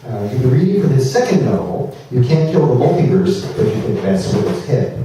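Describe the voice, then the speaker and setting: Very dark-voiced man hosting in an echoey room. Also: mid-range voice, very animated, brisk. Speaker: event host introducing a reader. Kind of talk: spoken introduction, partly read out. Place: public reading at an indoor venue. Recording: very echoey room, distant, faint noise.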